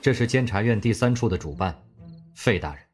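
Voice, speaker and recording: A man voicing a blind young guard in an audio drama, speaking in a flat, clipped, emotionless way. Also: fairly low pitch, a little fast, slightly rough, soft background music. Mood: cold.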